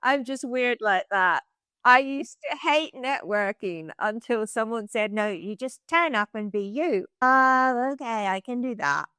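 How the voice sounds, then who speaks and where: A woman with an Irish accent, a podcast guest chatting casually in a bubbly, expressive way and laughing easily. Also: a little high, measured pace, smooth, dry recording, studio quality. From Ireland. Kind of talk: casual interview chat.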